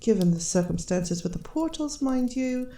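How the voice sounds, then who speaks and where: Woman as elven queen, higher-pitched, velvety mellow tone, measured, gently teasing, fantasy roleplay. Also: animated, a little hiss or hum. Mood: fond, assured. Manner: formal, measured, gracious. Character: elf queen, regal, composed, gently teasing mother. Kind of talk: scripted fantasy audio roleplay, ASMR. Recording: roomy, reverberant sound.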